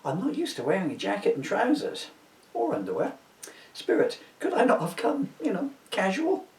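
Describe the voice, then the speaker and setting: A man puts on an indignant, hard-bitten miser in a comic audiobook, theatrical and querulous with old-fashioned phrasing. The pitch leaps about a great deal, and the room sounds echoey, with the microphone at some distance. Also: huge pitch swings, normal speed, heavily acted. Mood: plaintive, indignant.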